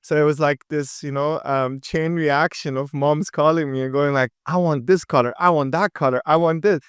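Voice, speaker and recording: A lively young man from with a crisp voice. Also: on the high side, no echo, studio quality. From Dubai. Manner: energetic, quick, with many fillers and restarts. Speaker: podcast guest, entrepreneur. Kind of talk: casual interview chat.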